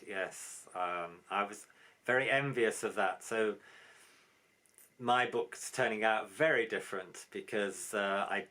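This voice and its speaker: Reflective low-voiced male editor. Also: pushed and bright. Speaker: editor and translator. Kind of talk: conversational interview.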